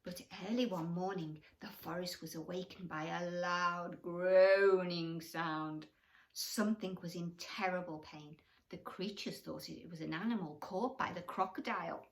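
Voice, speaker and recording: A woman's voice: lowish voice, fairly even intonation, measured pace. A woman storyteller reading a bedtime story expressively to children, with questions and asides to the young listeners.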